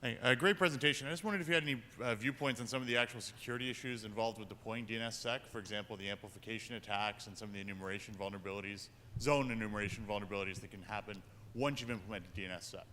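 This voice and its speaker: A man's voice: lowish voice, racing, sharp tone. A man putting an unscripted technical question to a speaker from a conference audience. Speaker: audience member asking a question. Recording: noisy reverberant hall.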